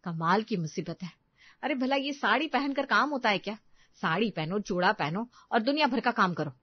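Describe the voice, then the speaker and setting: A woman's voice: fairly low pitch, animated, normal speed, dry recording, dull audio, close to an everyday voice. A woman voicing a young daughter-in-law, martyred and self-important, grumbling with sarcasm in a family story. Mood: sarcastic anger. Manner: sarcastic, put-upon grumbling. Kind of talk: Hindi family story acting.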